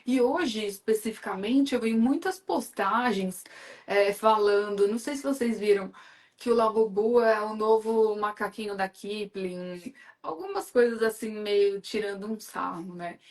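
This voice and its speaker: A woman's voice: mid-range voice, a little slow, a little mellow. Chatty Brazilian woman hosting a live. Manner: chatty, enthusiastic, many fillers. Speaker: live stream host. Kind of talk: casual live chat.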